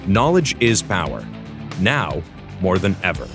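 Man, network announcer, lowish lively voice, guitar music behind. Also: unhurried. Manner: polished announcer delivery. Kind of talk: scripted intro over music.

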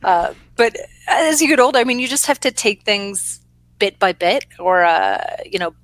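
A woman's voice: a little high, lively intonation, normal speed, no echo. A woman in her thirties, an author, answering interview questions from her home library over a video call in an easygoing, friendly way. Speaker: author guest.

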